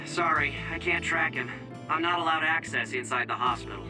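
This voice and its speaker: A man's voice: on the high side, fairly quick, distant mic, music in the background, lightly acted. A man playing a cheeky, informal young tech-savvy comrade in a video game cutscene. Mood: matter-of-fact.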